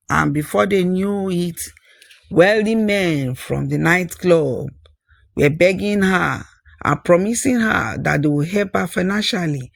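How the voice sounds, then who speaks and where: A storyteller reads a scripted folktale in a husky voice of medium pitch. The phrasing is deliberate, with repetition for emphasis. The sound is dry, with no room around it. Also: no echo.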